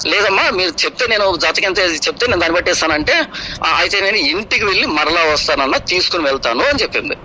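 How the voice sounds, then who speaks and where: A noisy call recording carries a man's fairly high voice. He talks very quickly, explaining events like a casual storyteller. He repeats phrases for emphasis and voices both sides of conversations. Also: muffled recording. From Kadapa, Andhra Pradesh, India. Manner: animated explaining, acts out reported dialogue, repeats phrases for emphasis. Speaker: pastor.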